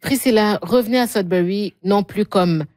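A woman interviewing a guest, asking gentle questions and affirming her answers. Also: medium pitch, normal speed, no echo.

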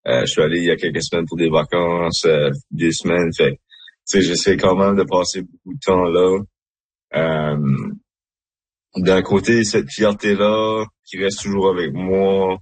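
Brief: man, deep and husky, thoughtful halting chat. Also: level tone, dry recording, rough recording. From Moncton, Acadia. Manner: hesitant, many fillers, reflective. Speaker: radio guest. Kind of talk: casual chat.

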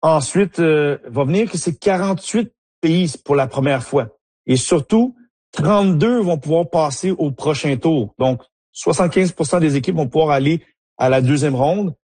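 A man's voice: fairly high pitch, normal speed, a little mellow, husky, no echo. A man, a former player turned soccer analyst, answering interview questions with frequent fillers and restarts. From Haiti. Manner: hesitant, many fillers and restarts.

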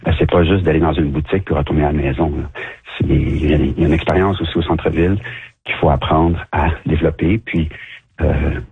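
A very deep, husky Quebec French man, a shop owner from Montreal, answers a radio host's questions. He talks haltingly, restarting and filling pauses as he goes. The sound is dry and narrow, like a phone line. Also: fairly even intonation, no echo. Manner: hesitant, many restarts and fillers. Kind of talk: radio interview guest.

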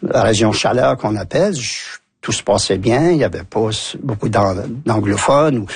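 A man in his sixties from New Brunswick with a Canadian French accent, telling stories as a radio interview guest, pausing often with fillers and restarts. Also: on the low side, lively intonation, gravelly, breathy edge, no echo. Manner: hesitant, many fillers and restarts. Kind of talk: relaxed storytelling in conversation.

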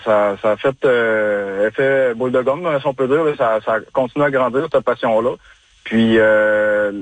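A young man from Quebec with a Quebec French accent, talking casually as a radio guest, halting with many pauses and restarts. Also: mid-range voice, dry recording. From Chaudière-Appalaches, Quebec. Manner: halting, frequent hesitations and restarts. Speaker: guest, bloodhound handler.

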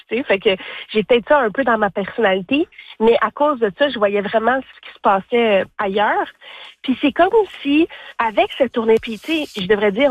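A woman's voice: fairly high pitch, no echo, narrow, tinny audio. A woman with a Franco-Ontarian accent talking casually and effusively as an interview guest, telling things in an informal, anecdotal way. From Ontario, Canada. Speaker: singer-songwriter, interview guest. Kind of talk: casual, candid interview talk.

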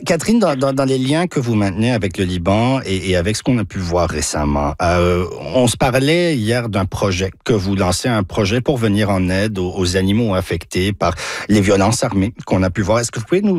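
A male radio host with a low-pitched voice talks in a relaxed, chatty way, often restarting his sentences. The sound is dry and close, with no room echo. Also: brisk. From Lebanese roots. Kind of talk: casual radio interview.

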